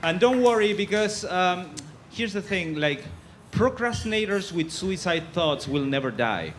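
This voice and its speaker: A man from Argentina doing a stand-up set on a comedy club stage, with a halting delivery full of fillers. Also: sits high, sing-song, noisy background.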